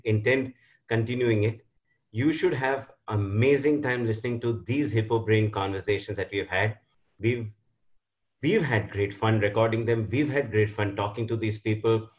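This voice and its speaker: Upbeat man introducing a podcast. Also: medium pitch. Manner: upbeat, enthusiastic. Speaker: podcast co-host. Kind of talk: show introduction.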